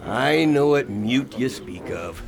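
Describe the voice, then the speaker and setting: A man acts a plain-spoken sea captain of old in a radio drama. His creaky, medium-pitched voice has a folksy sailor's dialect. A crowd murmurs behind him. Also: expressive, takes it slowly. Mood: wary recounting. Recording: busy crowd noise behind voice.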